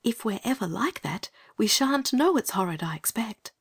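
A man's voice: very high voice, big pitch swings, normal speed, voice pushed up, full character voice. A man voicing a thoughtful, sensible and earnest young girl in an audiobook. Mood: hushed, thoughtful. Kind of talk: audiobook character voice.

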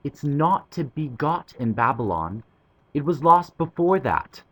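A man voicing a cheerful, sensible young boy in an audiobook, with brisk, hearty talk. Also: on the high side, sing-song, fairly bright tone, voice pushed up, full character voice. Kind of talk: audiobook character voice.

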